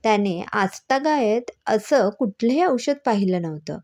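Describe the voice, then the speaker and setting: A woman's voice: medium pitch, expressive, a little slow, darkish tone. A woman reading a scripted story expressively as a storyteller.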